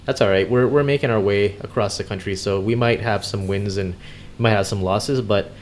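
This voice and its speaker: A man hosting a gaming video in casual, easygoing chat, with a hesitant, halting delivery. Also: lowish voice, fairly even intonation, slight background noise. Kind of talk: casual roleplay chat while driving in a game. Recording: music behind the voice. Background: music.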